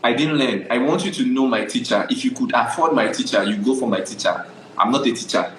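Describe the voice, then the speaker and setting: A man's voice: medium pitch, a little fast, fairly bright tone, echoey room, a little hiss or hum, poor audio. A young adult Nigerian man, a photographer guest, telling his story in a casual interview, often checking "do you understand?".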